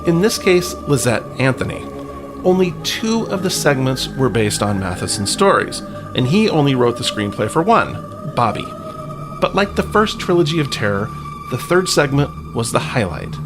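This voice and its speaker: A man hosting a podcast in a conversational narrating style, with wry, colloquial asides. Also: mid-range voice. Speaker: podcast host.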